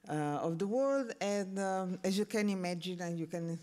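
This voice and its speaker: A man from Italy with an Italian accent, a non-native speaker giving a conference presentation and often pausing with "uh". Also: sits high, a little mellow. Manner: halting, repeats words and fills with uh. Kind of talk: conference talk.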